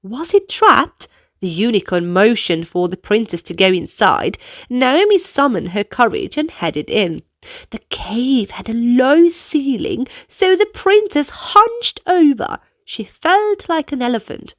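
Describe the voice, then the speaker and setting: Female children's read-aloud narrator: high-pitched, very animated, unhurried delivery, speaking straight to little ones. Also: dry recording, studio quality. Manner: animated, deliberate, addressing children directly. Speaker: children's storybook reader. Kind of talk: expressive read-aloud narration with movement prompts for young children.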